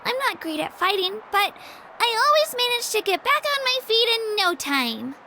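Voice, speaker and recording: A woman's voice: very high-pitched, very animated, moderate pace, pushed and bright, slight background noise, full character voice. A woman voicing an earnest, humble young girl warrior in a video game, girlish and bubbly but hesitant. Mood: resigned, plucky. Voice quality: girlish, youthful. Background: background music.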